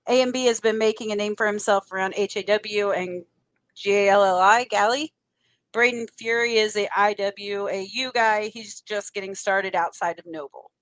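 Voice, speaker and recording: A woman's voice: medium pitch, fairly even intonation, normal speed, fairly bright tone, dry recording. A woman co-hosting a podcast in casual chat, teasing her guest and laughing often. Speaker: podcast co-host.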